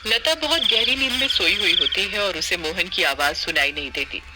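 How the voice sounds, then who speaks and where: A woman's voice: medium pitch, lively intonation, normal speed, very noisy. A woman reading scripted narration for a cartoon story.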